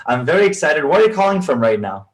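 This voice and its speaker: A man's voice: on the high side, sing-song, fast, background noise. A man hosting an interview over a video call, enthusiastic and often restarting his words as he asks questions. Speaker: podcast host. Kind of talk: casual interview talk.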